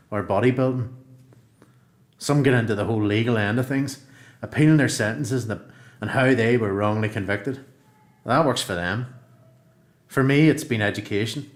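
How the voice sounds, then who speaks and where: A man's voice: medium pitch, roomy sound, close to an everyday voice. A man with a Northern Irish accent playing an earnest, reflective prisoner in a radio play, speaking plainly as if in a letter. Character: earnest, reflective male prisoner who found education.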